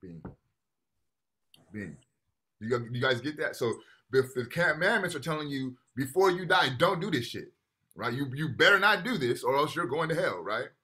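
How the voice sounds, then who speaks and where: A man's voice: mid-range voice, animated, moderate pace, pushed and bright. A man teaching a university class over a video call, talking casually and often checking in with "right?" while reading texts aloud. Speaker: lecturer.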